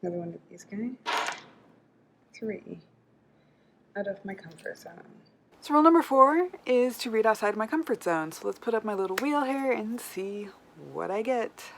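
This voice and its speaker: A woman with a medium-pitched voice talks casually to her viewers in the style of a book vlog. Her delivery is animated and conversational, with self-deprecating asides. Noticeable room noise sits behind her voice. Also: normal speed. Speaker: book vlogger. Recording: clear background room noise.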